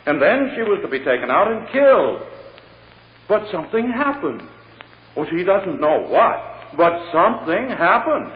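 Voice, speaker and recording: Echoey vintage radio courtroom: an insinuating, emphatic prosecutor, raised pitch, theatrical. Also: male voice, very animated. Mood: sarcastic, dramatic. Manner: oratorical, emphatic, insinuating, dramatic pauses. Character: theatrical, sarcastic prosecutor addressing a jury. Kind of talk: 1940s radio drama acting. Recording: tinny, echoey, noisy old radio recording.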